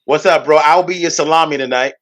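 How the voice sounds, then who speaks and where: A man's voice: on the high side, fast talker, a bit bright. A man co-hosting a comedy show with casual, bantering interjections. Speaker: comedian co-host.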